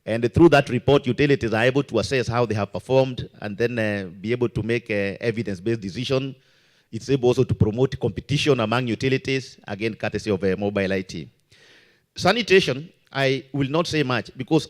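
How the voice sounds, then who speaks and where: A man's voice: mid-range voice, fast talker. Hesitant man speaking on a panel. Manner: hesitant, many fillers and restarts. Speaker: water regulator panelist. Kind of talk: conference panel remarks. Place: conference meeting room.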